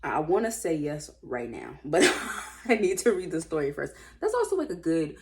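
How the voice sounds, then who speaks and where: A woman's voice: low voice, big pitch swings, normal speed. An American woman casually reading stories aloud with informal, sassy and blunt running commentary.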